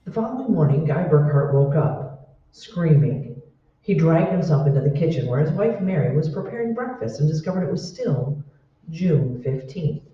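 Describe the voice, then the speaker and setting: A man's voice: a little high, expressive, moderate pace, lightly acted. A man playing the grave narrator of an eerie old-time radio mystery. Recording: echoey hall, distant mic, dull.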